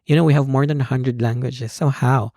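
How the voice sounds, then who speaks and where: Gravelly Filipino man in interview. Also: medium pitch, steady tone, a little fast, warm tone, no echo, studio quality. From Philippines. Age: young adult. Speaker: podcast guest, PhD student. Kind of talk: conversational interview.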